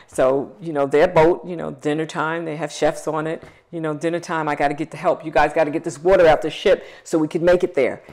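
Middle-aged woman, low voice, emphatic and passionate interviewee, lapel mic. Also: a little mellow. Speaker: foundation CEO. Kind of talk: interview conversation. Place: living room set on a couch.

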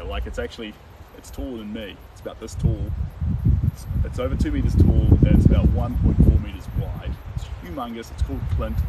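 A young man chatting casually with viewers while painting on a livestream, relaxed with frequent ums. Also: mid-range voice. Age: young adult. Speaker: painter livestreaming his work. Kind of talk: casual livestream chat answering viewer comments. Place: outdoors on a lawn under open sky. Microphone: camera standing a few meters away. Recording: distant mic, loud outdoor wind and leaf noise.

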